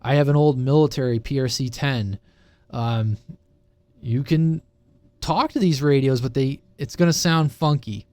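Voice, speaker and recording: A man's voice: medium pitch, a little slow, darkish tone, no echo. A man giving a casual, unscripted technical explanation in an informal, conversational way, often checking that listeners follow. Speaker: presenter of a CB radio explainer channel.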